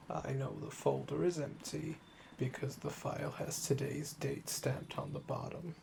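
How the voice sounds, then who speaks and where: Male actor as calm yandere assassin, heavy vocal fry, teasing murmurs, lively pitch swings, ASMR roleplay. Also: a little hiss or hum, full character voice. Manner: teasing, slow, deliberate, drawn-out phrasing with breathy murmurs. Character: calm, flirtatious, obsessive male assassin under interrogation. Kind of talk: roleplay audio drama, ASMR.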